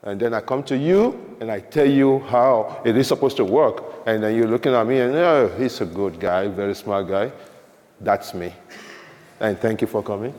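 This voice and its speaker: A man's voice: lowish voice, normal speed, darkish tone. A man co-presenting at a conference, teasing his partner with playful banter. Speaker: solutions architect, co-presenter. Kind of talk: joking conference talk. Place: large conference hall. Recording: reverberant hall.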